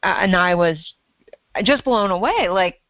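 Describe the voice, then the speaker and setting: A middle-aged woman chatting over a video call. She tells her story in an animated way, laughing often and acting out what she thought. The sound is dry and a little dull. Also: mid-range voice, a little slow, dry recording. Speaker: weight loss coach and host. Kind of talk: casual conversation telling a story.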